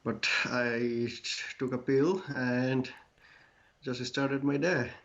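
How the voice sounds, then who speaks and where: A man's voice: mid-range voice, steady tone, very slow, gravelly. Man on a dull call line. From Bangladesh. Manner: earnest, hesitant, many fillers. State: under the weather. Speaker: guest, clothing brand founder. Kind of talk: casual interview answers.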